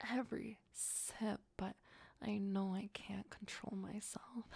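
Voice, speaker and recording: A woman playing a possessive, sweetly obsessive vampire girlfriend in an ASMR roleplay, mostly whispering in a breathy, intimate, doting way. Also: mid-range voice, darkish tone, no room sound, exaggerated voice.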